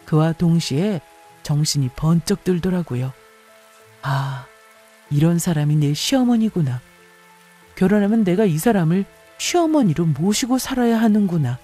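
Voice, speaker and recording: A woman's voice: fairly low pitch, lively intonation, moderate pace, mellow, husky, faint music behind. A woman reading a scripted first-person story as a narrator.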